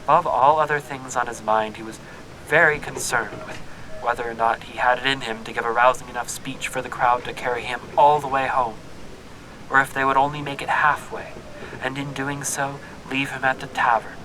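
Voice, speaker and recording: An actor playing a wry, deadpan storyteller narrating a fantasy audio drama in formal wording. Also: male voice, normal speed, close to an everyday voice. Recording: clear background noise and rumble.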